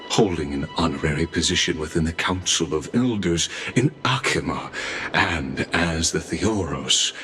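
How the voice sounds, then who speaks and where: Very deep, courtly mechanical envoy. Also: male voice, a little hiss or hum, exaggerated voice. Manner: ceremonious, polite, measured. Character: courtly male mechanical envoy of a council, formal, deferential. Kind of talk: video game voice acting. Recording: loud music behind the voice.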